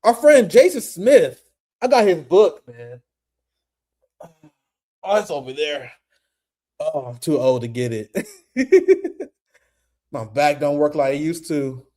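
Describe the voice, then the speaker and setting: A young man hosting a casual political commentary stream, animated and profane, laughing often. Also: fairly high pitch, a little slow, long pauses.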